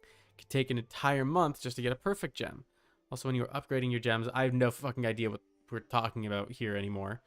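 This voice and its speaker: A man's voice: medium pitch, fairly even intonation, fast, smooth, no room sound. Casual man streamer, clean dry sound. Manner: casual, sweary. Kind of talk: casual livestream chat while making a game character.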